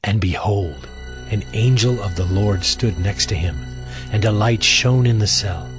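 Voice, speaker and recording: A man giving a scripted, solemn and measured narration for an audio drama of scripture. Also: lowish voice, music in the background.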